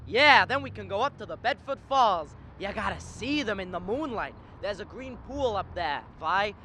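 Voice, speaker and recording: A young actor playing a restless, kind-hearted man in a radio drama, halting and wry in delivery. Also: mid-range voice, lively intonation, sharp tone. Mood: restless, dreamy. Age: young adult. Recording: faint background noise.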